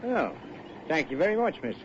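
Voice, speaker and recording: A man's voice: a little high, sing-song, normal speed. A man playing a folksy, chatty, blunt small-town cab driver in an old-time radio drama. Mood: folksy, nosy. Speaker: supporting character, local driver. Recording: muffled, noisy old radio recording.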